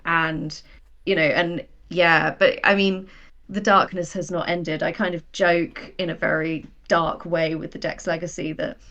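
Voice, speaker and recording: A woman with a slightly low voice, talking casually as a guest in an interview. She tells personal stories with wry, self-deprecating emphasis, animated and conversational. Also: unhurried, muffled recording. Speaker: guest, writer of audio drama.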